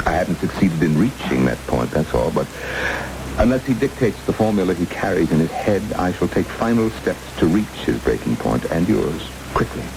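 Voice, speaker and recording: Deep-voiced, suave radio villain. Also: male voice, big pitch swings, vocal fry, exaggerated voice. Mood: smooth, threatening. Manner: mock-courteous, deliberate, gloating. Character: suave, menacing male villain interrogating his captives. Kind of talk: vintage radio drama acting. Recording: old radio broadcast under loud rain-like noise.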